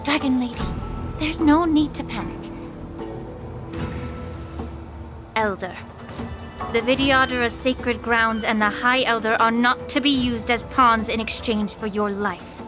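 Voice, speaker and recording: Game role, played by a woman: poised female authority, slightly high voice, formal and measured, faint music. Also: fairly even intonation, lightly acted. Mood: firm calm. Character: composed, authoritative young woman rebuking a wrongdoer. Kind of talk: video game voice acting.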